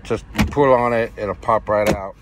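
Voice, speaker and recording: A man's voice: lowish voice, fairly even intonation, a little slow. A middle-aged American man giving a casual, plain-spoken how-to vlog from inside a truck cab. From United States. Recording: noisy truck cab, loud vehicle ambience.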